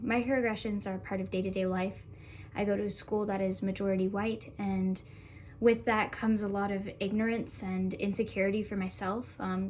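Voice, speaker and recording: A woman's voice: mid-range voice, a little mellow. A seventeen-year-old girl from Canada giving composed, articulate interview answers. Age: teens. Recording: faint background noise.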